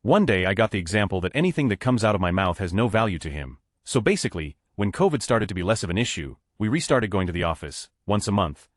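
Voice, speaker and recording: A man narrating online stories aloud in a brisk, matter-of-fact reading. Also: fairly low pitch, dry recording, studio quality.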